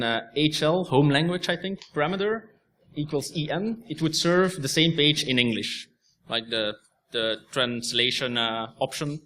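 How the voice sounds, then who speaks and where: A man's voice: on the high side, a bit bright. A young man from Belgium, a native Dutch speaker, giving a technical talk with a Belgian Dutch accent and explaining step by step with frequent restarts. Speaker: conference speaker.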